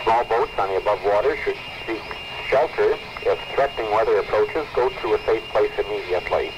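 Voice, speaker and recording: A man reading scripted weather bulletins as a radio announcer, in formal, measured phrases. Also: lowish voice. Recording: muffled, noisy 1970s weather radio recording.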